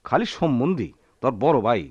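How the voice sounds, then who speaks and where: An actor playing a proud, hot-tempered poor village husband in a Bengali radio drama, with a rural Bangladeshi accent and a theatrical, defiant manner. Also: male voice, mid-range voice, lively intonation, brisk, a little mellow, slightly rough, dull audio. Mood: angry, defiant.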